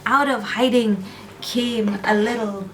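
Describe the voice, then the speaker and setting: High-pitched woman telling a bedtime story. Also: steady tone, takes it slowly, fairly bright tone, recorded from a distance, slight background noise, rough recording. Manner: repeats phrases for effect. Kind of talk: bedtime story narration for small children.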